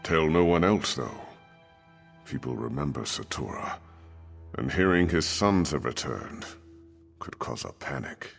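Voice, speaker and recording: A weary, troubled king of the desert for a video game. His voice sits extremely low and crackles with gravel. He speaks formally and with measured authority, over faint music. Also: lively intonation, full character voice. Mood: grave, welcoming. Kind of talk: scripted video game dialogue.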